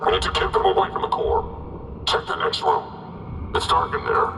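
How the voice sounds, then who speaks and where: A man voicing a gruff, hostile paramilitary trooper in a cinematic video game. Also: moderate pace, background noise, natural voice. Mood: terse, tactical. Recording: distant, echoey hall, music behind.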